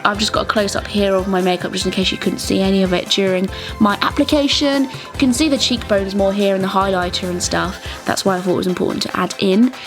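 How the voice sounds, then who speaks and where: A young British woman giving a casual, chatty, enthusiastic beauty tutorial voice-over. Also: medium pitch. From England. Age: young adult. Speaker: beauty vlogger. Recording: music bed under the voice. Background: clear background music.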